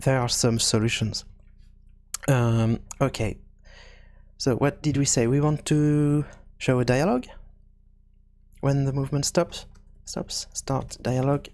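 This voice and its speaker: Slow-paced man thinking through a tutorial. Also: mid-range voice, fairly even intonation, a little mellow. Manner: hesitant, halting, working things out as he speaks. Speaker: video game programming tutorial host. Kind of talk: screencast tutorial, thinking aloud.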